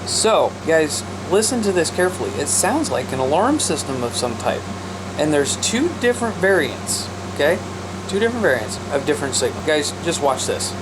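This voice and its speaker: A man's voice: fairly high pitch, sing-song, normal speed. A man talking casually to viewers as a radio hobbyist vlog host, often repeating himself for emphasis. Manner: repetitive, emphatic, addresses listeners as guys. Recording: loud background noise and radio hiss.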